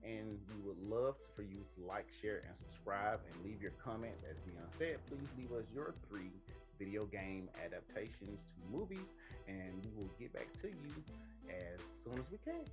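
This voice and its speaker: A man's voice: on the low side, animated, normal speed, music in the background. A man co-hosting a movie talk show, chatting casually in an emphatic, sweary way. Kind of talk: casual chat.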